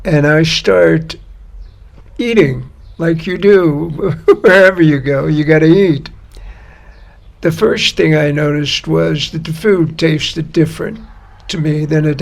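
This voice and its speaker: A man telling a personal story in a slow, unhurried, slightly halting way. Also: fairly high pitch, gravelly. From United States. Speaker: guest storyteller.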